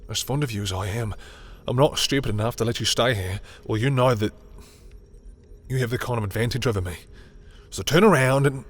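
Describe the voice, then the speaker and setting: A man playing a proud, guarded yet tender villain in love, in an intimate romantic roleplay with dramatic, halting, confessional delivery. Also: medium pitch, natural voice. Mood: tender, resigned, then fervent, defiant. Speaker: roleplay audio performer. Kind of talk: intimate second-person romantic roleplay acting.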